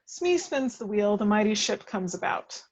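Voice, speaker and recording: A woman reading action descriptions as scripted narration at a table read, with measured, dramatic storytelling. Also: medium pitch, sing-song, lo-fi sound.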